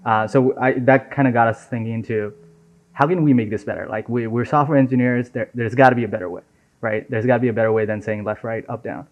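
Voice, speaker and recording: A man in his twenties from Nepal, chatting casually as an interview guest. He talks in a relaxed, easy way, often dropping "right?" and "man" into his sentences. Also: medium pitch, fast. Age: 20s. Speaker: software engineer guest. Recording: dull lo-fi audio.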